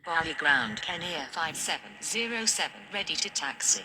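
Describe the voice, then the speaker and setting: A woman's voice: low voice, very animated, moderate pace, sharp tone, rough recording. A woman speaking scripted, formulaic air traffic radio phraseology. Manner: formulaic callouts.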